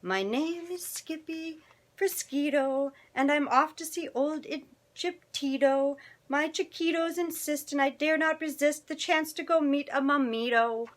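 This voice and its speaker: A woman voicing an excitable, dramatic little boy kitten who plays a brave Chihuahua in a storybook. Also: fairly high pitch, moderate pace, voice pushed up, heavily acted. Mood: playful singsong. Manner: dramatic, exclaiming, mock Spanish accent.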